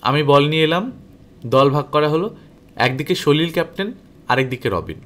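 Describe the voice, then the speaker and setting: Animated male storyteller with lively pitch. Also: moderate pace. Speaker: audiobook storyteller. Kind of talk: expressive scripted story narration, first-person as a boy.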